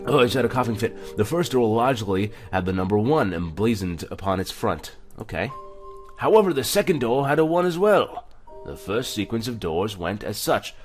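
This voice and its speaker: Low-voiced man doing chatty let's-play commentary. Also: big pitch swings, brisk, a little mellow, soft background music. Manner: chatty, self-deprecating, often stumbles while reading. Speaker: gaming video host. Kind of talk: casual let's-play commentary with reading aloud.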